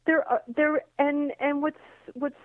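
A woman's voice: high voice, steady tone, unhurried, no room sound. A woman whose first language is Slovak chats as an interview guest over a tinny phone line. She rambles through her answers with fillers and restarts. The line sounds dry, with no room around her. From Queens, New York. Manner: chatty, many fillers and restarts. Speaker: singer and Broadway performer, guest. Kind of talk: casual interview chat.

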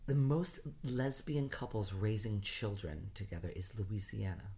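A man's voice: on the low side, a little slow, creaky, dark tone. A man from Rhode Island in casual conversation, making his points emphatically and often repeating phrases as he builds an argument.

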